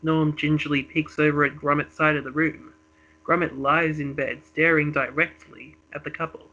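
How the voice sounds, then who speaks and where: A man's voice: a little high, little pitch movement, normal speed, pushed and bright. A man reading a comedy script casually as co-host, giggly and breaking off to banter, on a lo-fi recording. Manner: giggly, breaks off to joke and improvise.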